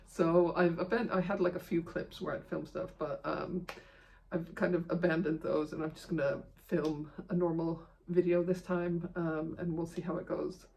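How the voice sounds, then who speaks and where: Creaky-voiced woman chatting casually. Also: lowish voice, darkish tone, a little hiss or hum. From Iceland. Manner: rambling, self-deprecating, chatty. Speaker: video host of a cross-stitch channel. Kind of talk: casual vlog chat to camera.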